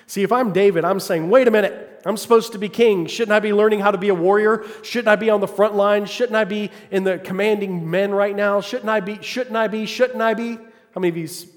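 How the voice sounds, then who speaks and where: A man preaching a sermon, calling for "amen" from the congregation and swinging between loud, fervent passages and quiet asides. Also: sits high. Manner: call-and-response, asks congregation "Amen?". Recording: long hall reverb.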